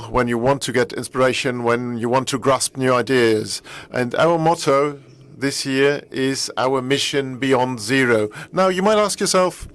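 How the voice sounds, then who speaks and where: A man's voice: mid-range voice, fairly bright tone. Man, German accent, middle-aged, executive keynote, earnest, rephrases a lot. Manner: earnest, repeats and rephrases, frequent uh fillers. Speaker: company executive speaking at a press event. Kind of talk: conference keynote speech.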